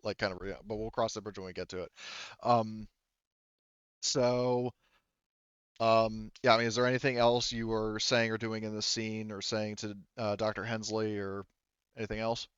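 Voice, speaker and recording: A man acts as game master at a tabletop roleplaying session. His voice is low and smooth, and he thinks aloud haltingly as he improvises characters. The recording is close and dry but a little dull. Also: level tone, a bit bright, no echo.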